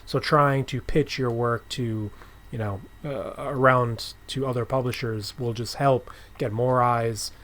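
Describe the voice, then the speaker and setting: A man hosting a podcast, chatting casually and haltingly as he rephrases his way through questions and remarks. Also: medium pitch, fairly even intonation, a bit bright. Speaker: podcast host interviewing a writer. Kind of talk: casual conversational interview.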